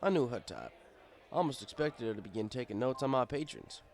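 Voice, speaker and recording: A man's voice: medium pitch, expressive, fairly quick, gravelly, darkish tone. A man playing an easygoing, fatherly pony bartender who tells his story in a wry, conversational way. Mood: reflective. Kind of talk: first-person audiobook narration in character.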